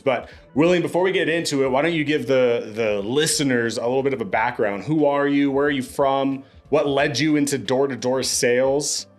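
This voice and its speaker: Upbeat, laid-back male podcast host. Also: mid-range voice. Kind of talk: casual podcast hosting.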